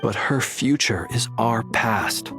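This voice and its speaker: A man playing a courteous, composed gentleman in a video game, speaking with polite, dry understatement. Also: medium pitch, expressive, slow, over music. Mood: thoughtful. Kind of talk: video game cutscene dialogue.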